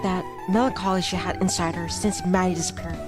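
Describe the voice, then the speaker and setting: Casting: actress for introspective young female visual novel narrator, self-critical and glum, slightly low register, music behind. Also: fairly even intonation, a little fast, close to an everyday voice. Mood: quiet admiration. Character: self-doubting, observant young woman, introspective narrator-heroine.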